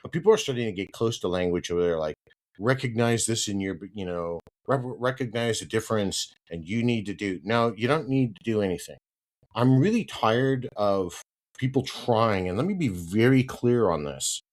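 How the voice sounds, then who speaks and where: A stuffed-up man with a slightly nasal, somewhat low voice, talking through his topic alone as a podcast host. He keeps it conversational, checks in with a frequent "right?", and gets firm and emphatic when he pushes back on advice he dislikes. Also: expressive, moderate pace. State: congested with hay fever. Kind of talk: solo podcast monologue.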